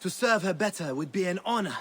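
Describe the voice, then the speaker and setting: High, bright, zealous warrior. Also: male voice, animated, fairly quick, slight background noise. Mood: proud, willing. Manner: proud, fervent. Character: devout fierce young warrior, zealous servant of cat goddess. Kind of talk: dramatic video game acting.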